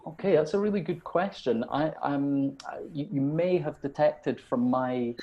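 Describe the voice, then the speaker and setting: A man's voice: a little high, unhurried, warm tone, dull audio, a little hiss or hum. Hesitant man moderating an online webinar. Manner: hesitant, repeats words often. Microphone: video call.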